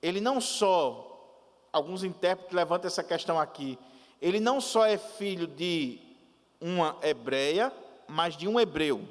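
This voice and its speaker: A man preaching a sermon as a pastor, deliberate and repeating his points with explanatory asides. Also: a little high, lively intonation, long reverb tail.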